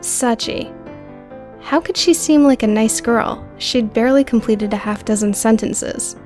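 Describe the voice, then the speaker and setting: A woman's voice: fairly high pitch, unhurried, darkish tone, soft background music. A woman narrating an audiobook story in a scripted, dramatic storytelling style.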